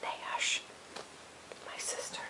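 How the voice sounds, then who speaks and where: A woman voicing a sweet-fierce, impulsive maiden from a storybook poem in a breathy, eager whisper. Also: slow, natural voice, low-quality recording. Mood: enraptured craving.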